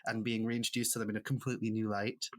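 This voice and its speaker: A woman's voice: very deep voice, little pitch movement, fast talker. A Welsh woman whose first language is Welsh, a guest author telling reflective personal stories in a casual podcast chat. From Wales. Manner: reflective, storytelling, with light laughs.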